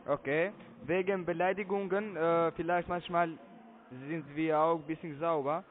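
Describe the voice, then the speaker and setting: A man's voice: on the high side, steady tone, normal speed. A man working a scam call center, speaking broken German as a non-native, evasive and vulgar when pushed. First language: not German. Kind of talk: phone sales call. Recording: tinny phone line.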